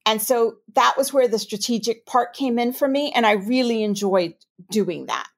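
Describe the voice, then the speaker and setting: Woman, New York accent, compliance officer, interview, measured, explanatory. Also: a little high. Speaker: chief ethics and compliance officer. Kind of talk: interview answers.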